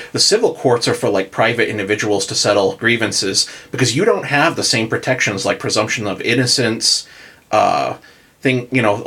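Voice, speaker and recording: Husky, forceful American man with lowish pitch. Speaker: podcast host. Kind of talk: casual political commentary.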